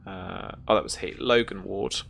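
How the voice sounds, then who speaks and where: A woman narrating a tabletop sci-fi horror game as game master, halting as if searching through notes. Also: rumbling low, fairly even intonation, gravelly, slight background noise.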